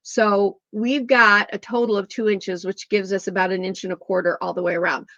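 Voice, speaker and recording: A woman's voice: mid-range voice, level tone, normal speed, a bit bright, no echo. Middle-aged woman, homey quilting instructor, slightly scratchy voice, chatty, muffled dry recording. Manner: chatty, digressive, self-correcting. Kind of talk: chatty sewing tutorial. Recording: dull lo-fi dry sound.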